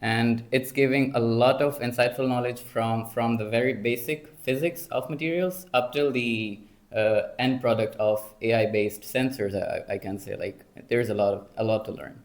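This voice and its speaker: Man, twenties, Pakistani accent, average pitch, student guest, conversational, slightly hesitant. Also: fairly even intonation, normal speed. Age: 20s. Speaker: master's student, podcast guest. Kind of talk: conversational panel answers.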